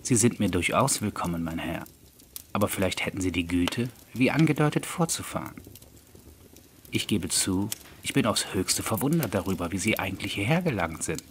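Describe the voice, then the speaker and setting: A man voicing an astonished but polite gentleman lawyer in an audiobook, formal and emphatic in his questioning. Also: low-pitched, big pitch swings, normal speed, a little hiss or hum. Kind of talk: audiobook character dialogue.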